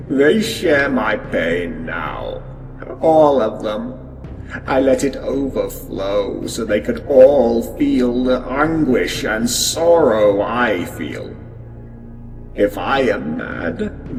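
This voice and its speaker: An actor playing a mad, grief-stricken sorcerer, delivering brooding, theatrical monologues in an audio drama, bitterly resigned to doom. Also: male voice, medium pitch. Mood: anguished, bitter. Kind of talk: fan audio drama acting. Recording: echoey cavernous reverb with music.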